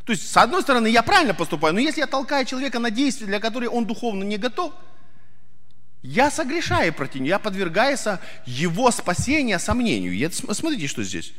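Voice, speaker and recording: High, lively-voiced man preaching in a hall. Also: fast. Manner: earnest, explaining with rhetorical questions. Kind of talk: sermon. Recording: hall reverb.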